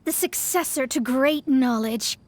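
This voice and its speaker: Mona: a haughty young astrologist. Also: female voice, sits high, unhurried, no room sound. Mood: lofty. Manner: poised, lofty, slightly condescending. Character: proud young female astrologist, haughty and scholarly. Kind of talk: video game cutscene voice acting. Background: soft game music.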